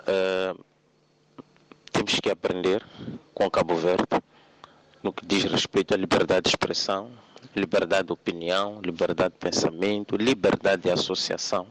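A man from Mozambique calling in to radio, speaking in a slow, earnest, deliberate way. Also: sits low, flat delivery, no room sound, low-quality recording. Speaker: radio caller.

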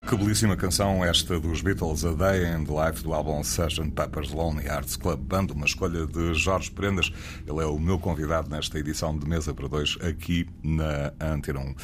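A man with a European Portuguese accent hosting a relaxed radio interview, easygoing and conversational, with filler pauses. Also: very deep voice, noisy background. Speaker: radio host. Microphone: studio microphone.

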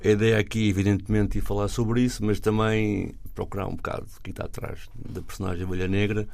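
A male radio interviewer asks quick, easygoing questions, with fillers like "tipo" between them. His voice is lowish and gravelly, and he talks fast. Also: level tone, a little mellow.

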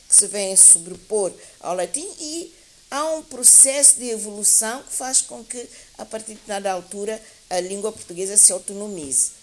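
A woman speaking as a guest teacher in a panel discussion, explaining in a deliberate, academic way and often correcting herself as she goes. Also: mid-range voice. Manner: deliberate, academic, hesitant with repeated self-corrections. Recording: clear background hiss.

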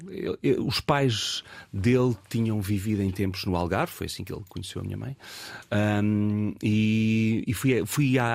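Portuguese guy in his 50s, low and gravelly, lots of ums and restarts, european portuguese accent. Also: no room sound. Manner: reflective, hesitant with many fillers and restarts. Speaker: actor and guest. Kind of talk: casual interview conversation.

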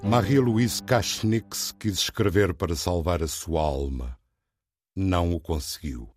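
Slow, low-voiced man reciting poetry. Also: a little mellow, faint music behind. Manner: solemn, declamatory, with deliberate pauses. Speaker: poet reading own work.

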